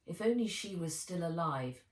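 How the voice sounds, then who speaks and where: A woman reading her own children's novel aloud as narrator, in measured, expressive storytelling. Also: lowish voice. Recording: roomy echo, distant microphone.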